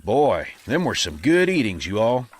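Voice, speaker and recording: A man's voice: fairly low pitch, very animated, moderate pace. A man playing a polite wandering cowboy in a comedic western audio drama, courteous and a little bumbling, with an American drawl.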